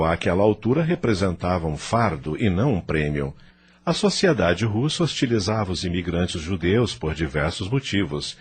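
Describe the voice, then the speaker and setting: A man's voice: deep voice, breathy edge. A man narrating an audio novel from a script in a solemn, theatrical, dramatic manner.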